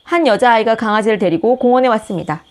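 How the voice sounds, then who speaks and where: A woman host reading a picture book aloud with gentle, expressive storytelling. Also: on the high side, fast talker. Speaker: storybook reader, channel host.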